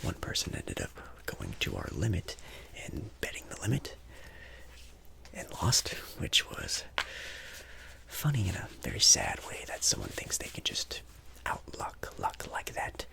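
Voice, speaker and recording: A man whispering an ASMR roleplay as a friendly, apologetic casino dealer, breathy and chatty, with polite, hesitant reassurance. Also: low voice, wildly swooping pitch, a little slow, bright, forceful tone.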